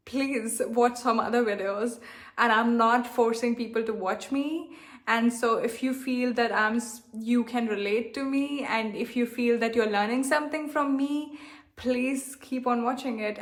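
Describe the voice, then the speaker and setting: A young woman from India with a softened, globalized Indian accent, talking candidly and defiantly straight to her viewers, repeating herself and leaning on "like". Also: fairly high pitch. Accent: Indian, softened toward a global accent. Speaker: self-love coach and channel host. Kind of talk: direct-to-camera vlog monologue.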